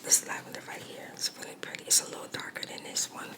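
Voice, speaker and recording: A woman whispering throughout as she tells a personal story while doing her makeup. She repeats phrases for emphasis and talks straight to her viewers. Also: fast talker. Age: adult mother. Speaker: makeup vlogger.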